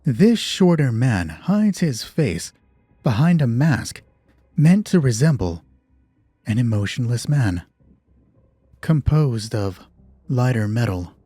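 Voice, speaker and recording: A man narrating a scripted, dramatic horror story as host of a solo roleplay, with measured, deliberate phrasing. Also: mid-range voice, big pitch swings, dark tone, slightly rough, dry recording.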